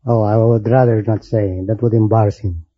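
A man from the Philippines with a Filipino accent and a husky voice, answering interview questions politely and hesitantly as a contestant. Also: on the low side, fairly even intonation, fairly quick, no echo. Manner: polite, deferential, hesitant, often says sir. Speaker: quiz contestant, butler. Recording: dull old radio recording, audience noise.

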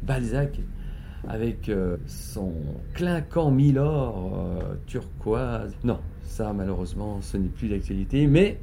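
Dark, gravelly Frenchman in an interview. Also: fairly low pitch, lively intonation, slow pace, a little hiss or hum. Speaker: shopkeeper selling canes and umbrellas. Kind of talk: spontaneous interview answers.

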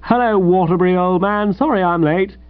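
Man playing an exuberant high-pitched young English gentleman. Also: expressive, normal speed, no echo, phone-quality sound, close to an everyday voice. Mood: radiant. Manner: gushing, breezy, chummy. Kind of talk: audiobook character voice.

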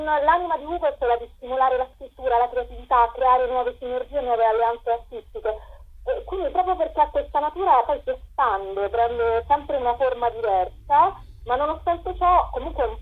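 A woman's voice: high-pitched, moderate pace, phone-quality sound. A woman being interviewed as a writer and performer, talking spontaneously and enthusiastically, often searching for words. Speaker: interview guest, writer and performer presenting her collective's show. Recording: thin band-limited radio or phone sound.

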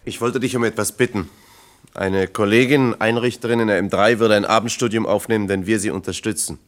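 An actor as a persistent factory comrade in a radio drama. His voice is deep and he talks plainly, pressing his case with earnest persuasion. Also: steady tone, a little fast, close to an everyday voice. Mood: earnest, persuasive. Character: earnest, persistent East German factory comrade pleading for colleague. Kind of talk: German radio play acting.

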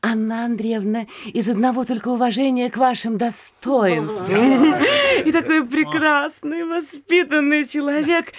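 High, affected, boastful society mother. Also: unhurried, few pauses, crowd noise. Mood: smug boasting. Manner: affected, grandiloquent. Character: vain, boastful, affected mayor's wife and bossy mother. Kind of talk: theatrical classic comedy audio play.

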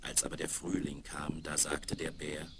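Low voiced guy telling a kids fairy tale, old vinyl rip with loads of crackle. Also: completely flat delivery, normal speed, vocal fry. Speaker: storyteller, narrator. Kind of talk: scripted fairy-tale narration for children. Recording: very noisy, crackly 1970s vinyl record.

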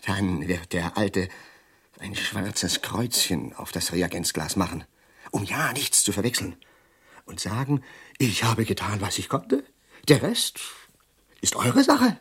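An actor playing a bitter, sardonic middle-aged professor prophesying doom in a German radio drama, with a husky, declamatory delivery. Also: male voice, on the low side, big pitch swings, takes it slowly, heavily acted. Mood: ominous, intense. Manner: declamatory, sardonic, lingering on words with sudden hushes.

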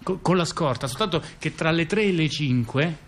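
A man's voice: a little high, lively intonation. A man telling a story in a theatrical, improvised-sounding way, stumbling into repeats and restarts and often adding short tag questions. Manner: halting, repetitive, stammered restarts and frequent tag questions. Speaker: monologue performer in a two-person radio theatre dialogue.

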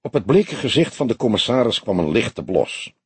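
A man giving an expressive scripted narration of a detective novel. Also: on the low side, normal speed, slightly rough, no echo. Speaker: audiobook narrator.

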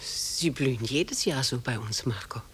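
An older actress playing a genteel, proud old mother who clings to the past, in a measured, dignified radio play style with a husky voice. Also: extremely low pitch, slight background noise. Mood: wistful, proud. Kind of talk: German radio play acting.

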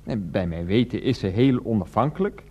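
A man's voice: on the low side, lively intonation, fairly quick, a little mellow, dull audio. An actor playing a bemused, polite grown son in a radio play, hesitant and stammering as he talks. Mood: puzzled. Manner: hesitant, stammering, polite, verbal tic at sentence ends. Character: bemused, hesitant grown son defending his football-mad mother. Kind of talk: Dutch radio play acting. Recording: clear background noise with hum.

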